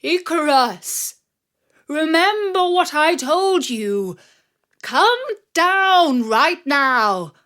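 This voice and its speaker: Protective father from Greek myth , read by a female storyteller. Deliberate, slow, serious, instructive, with expressive intonation. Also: high voice, pitched above the performer's own voice, exaggerated voice. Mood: calling out, urgent.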